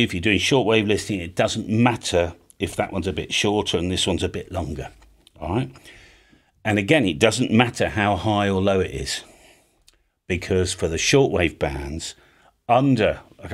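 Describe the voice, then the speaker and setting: A middle-aged man giving an informal, chatty explanation, often checking in with the listener as he goes. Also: low-pitched. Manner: chatty, casual, often checks in with "all right?". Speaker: host of a radio hobby channel. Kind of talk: informal explainer, talking through a hand-drawn diagram. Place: home music studio room, indoors.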